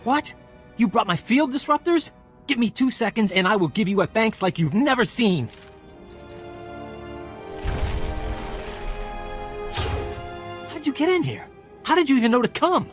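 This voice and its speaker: A spirited male resistance fighter in a video game, with a fairly high voice. He sounds eager, upbeat and a little cocky. Music plays behind the dialogue. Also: big pitch swings, normal speed, fairly bright tone. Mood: surprised, grateful.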